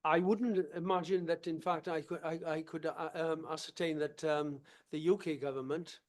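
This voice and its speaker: A man from Wales speaks at a conference panel, answering questions. He restarts his phrases often, then settles into firm, direct points. His voice sits a bit higher than most men's. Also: steady tone, normal speed.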